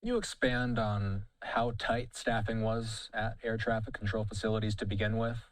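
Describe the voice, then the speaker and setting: A man working as a news interviewer, putting questions in a deliberate, emphatic broadcast style. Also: on the low side, no echo, low-quality recording.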